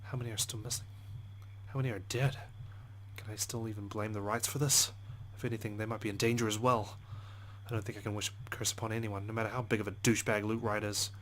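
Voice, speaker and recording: A man's voice: fairly low pitch, level tone, fast talker, a bit bright, a little hiss or hum, low-quality recording. A man hosting a let's play, reading visual novel narration expressively with side comments and frequent swearing.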